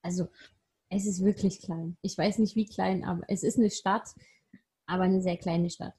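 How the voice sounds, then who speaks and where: A young woman from Saxony-Anhalt chatting casually and telling stories in a measured way. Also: on the low side, fairly even intonation, warm tone, slightly rough. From Saxony-Anhalt, Germany. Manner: measured, storytelling. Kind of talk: casual chat.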